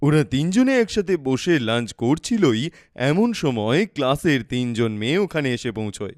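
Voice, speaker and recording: A man's voice: medium pitch, animated, moderate pace, a little mellow, no echo, clean studio sound. A man narrating a scripted children's cartoon story.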